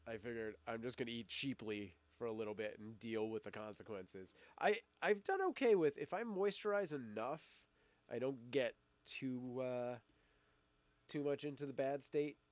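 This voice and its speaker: A man's voice: medium pitch, measured pace, no room sound. A middle-aged man hosting a casual live stream while fighting a cold, rambling with fillers and restarts. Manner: rambling, many fillers and restarts, laughs often. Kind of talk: casual live-stream chat, reading viewer comments.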